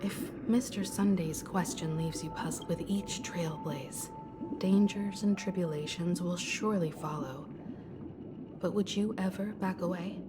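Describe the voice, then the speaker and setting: Woman acting slow, reflective young heroine. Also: fairly low pitch, fairly even intonation, natural voice. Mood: gently challenging. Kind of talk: dramatic game voice acting. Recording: studio voice under loud music. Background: loud game music.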